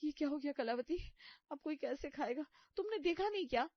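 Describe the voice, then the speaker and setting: A woman acts out a thrifty, self-satisfied daughter-in-law from a comic cartoon story. She is animated and eager to please. The recording is very dry and slightly dull. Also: high voice, a little fast, dry recording, pitched above the performer's own voice. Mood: shocked.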